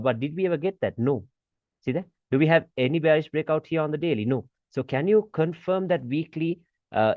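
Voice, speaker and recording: A man's voice: medium pitch, darkish tone, dry recording. A man with a mid-range voice talks through an informal tutorial as an instructor. He restates each point and keeps checking that the learner follows with rhetorical questions. The sound is dry and close, with a slightly dull, lo-fi tone. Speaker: forex trading instructor. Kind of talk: informal screen-share tutorial commentary.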